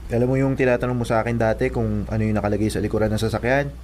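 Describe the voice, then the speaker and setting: A man's voice: on the low side, fairly even intonation, racing, darkish tone, a little hiss or hum, lightly acted. A man with a Filipino accent playing a placating, evasive young boyfriend in a radio drama, hesitant and apologetic. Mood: nervous.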